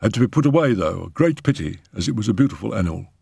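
A man's voice: lowish voice, sing-song, racing, creaky, mellow, husky, dry recording, pitched above the performer's own voice, full character voice. A man voicing a chatty, deferential footman in an audiobook, matter-of-fact and sure of himself. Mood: deferential, certain. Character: deferential male servant, a footman, chatty and certain. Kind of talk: audiobook character acting.

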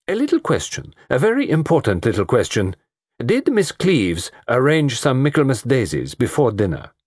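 A man's voice: fairly high pitch, darkish tone, no room sound, clean studio sound, voice pushed up. A man voicing a shrewd, precise middle-aged male detective with a put-on French accent. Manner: precise, formal, probing questions. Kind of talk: audiobook character voice.

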